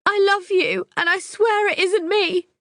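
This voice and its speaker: A woman's voice: normal speed, no echo, clean studio sound. A woman reading a desperate sobbing young woman. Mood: desperate pleading. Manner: shrill, high, sobbing pleas.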